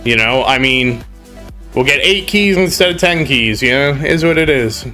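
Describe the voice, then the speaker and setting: A man's voice: mid-range voice, expressive, moderate pace, sharp tone, soft background music. A young man with a cold and a congested voice, rambling and grumbling on a casual game live stream. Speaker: gaming streamer.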